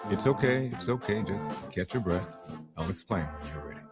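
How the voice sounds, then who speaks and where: Film drama: patient afterlife guide male actor, low voice, measured and reassuring, music underneath. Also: lively intonation, close to an everyday voice. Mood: calm, reassuring. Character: calm male afterlife gatekeeper, patient, gently wry guide for the newly dead. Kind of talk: short film drama acting.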